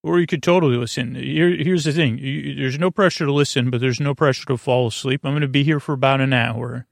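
A man hosting a bedtime podcast in a creaky, lulling voice, halting and stammering through meandering, goofy tangents. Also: mid-range voice, steady tone, no echo. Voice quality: creaky, drawling. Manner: lulling, soothing. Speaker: host of a bedtime sleep podcast. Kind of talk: rambling, meandering bedtime monologue.

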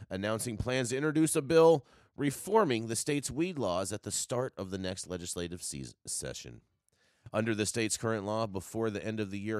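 A man with a low voice hosts a casual news talk show. His pitch swings widely as he stresses and repeats his points, and the sound is close and dry. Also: dry recording. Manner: emphatic, repeats phrases.

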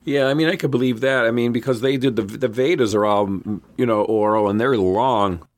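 A man co-hosting a casual podcast, mostly reacting to his partner with short comments and jokey asides. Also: mid-range voice. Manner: chatty, quick backchannel reactions and asides. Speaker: podcast co-host.

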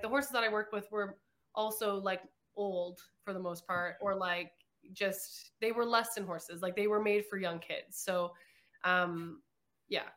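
A woman's voice: medium pitch, fairly bright tone. A young woman from Canada, a guest chatting casually in an interview, with many "like" fillers.

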